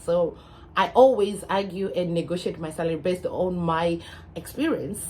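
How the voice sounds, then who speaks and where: A woman's voice: lowish voice, moderate pace, dark tone, a little hiss or hum. Zimbabwean woman giving earnest advice. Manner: earnest, advising, with frequent fillers. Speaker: English teacher and vlogger. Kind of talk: casual talk to camera.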